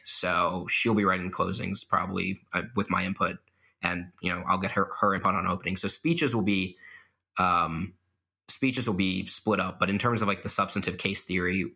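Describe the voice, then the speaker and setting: Young male guest, low-ish bright tone, casual and self-deprecating. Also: fairly even intonation, moderate pace, gravelly, slightly rough. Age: young adult. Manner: self-deprecating, laughs at himself, many fillers. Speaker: guest, mock trial competitor. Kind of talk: casual interview answers.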